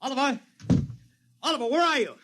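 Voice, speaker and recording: A man's voice: very high voice, lively intonation, brisk, over loud music. An actor playing a desperate, hot-headed publicity man in a 1950s radio drama, with urgent, melodramatic, tough-talking delivery. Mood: frantic.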